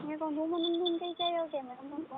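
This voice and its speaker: Woman, high-pitched voice, Rajasthani accent, informal call, thin phone sound, clear background noise. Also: steady tone, fast talker. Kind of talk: casual private phone call. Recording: tinny noisy phone line.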